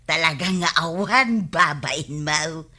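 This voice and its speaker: A sharp, swooping, nagging Ilocano housewife. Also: female voice, low-pitched, lively intonation, takes it slowly, heavily acted. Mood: scolding. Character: nagging, scolding housewife in a village comedy. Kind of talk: Ilocano radio comedy-drama acting.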